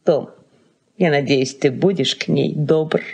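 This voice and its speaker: An actress playing a fussy, wistful elderly aunt in a Soviet radio play, halting and solicitous. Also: female voice, low voice, vocal fry, darkish tone. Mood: tender.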